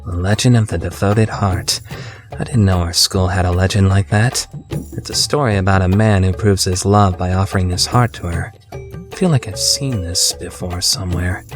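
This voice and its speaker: A man's voice: sits low, fairly even intonation, moderate pace, over music, lightly acted. A man voicing an awkward, earnest teenage schoolboy with a crush in an anime fandub, hesitant and easily flustered. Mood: musing. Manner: flustered, hesitant, overthinking monologue. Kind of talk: anime fandub radio play.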